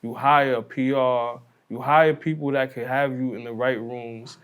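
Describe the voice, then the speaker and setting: A man's voice: medium pitch, steady tone, measured pace, fairly bright tone, clean tone. An American man talking casually as a podcast guest in a blunt, emphatic, slangy way.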